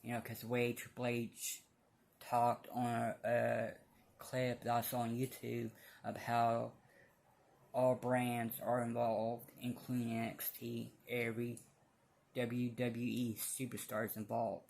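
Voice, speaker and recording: A man giving casual opinion commentary as a fan vlogger, speaking bluntly in a halting, rambling way. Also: mid-range voice, monotone, gravelly, darkish tone.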